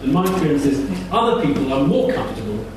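A man's voice: fairly high pitch, lively intonation, fast talker. A man on a live panel giving advice informally, in emphatic short instructions. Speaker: festival panellist. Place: indoor live event venue. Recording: very echoey room, distant mic, noise.

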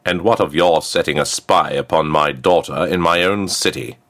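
Man doing an indignant king, bass-deep, commanding, forceful, storybook royal father. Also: moderate pace.

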